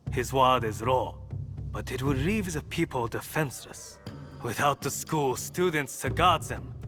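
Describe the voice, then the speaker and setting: A man playing a thoughtful, principled martial arts master in a video game, measured and formal. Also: mid-range voice, fairly bright tone. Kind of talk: dramatic game cutscene acting. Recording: faint music behind the voice.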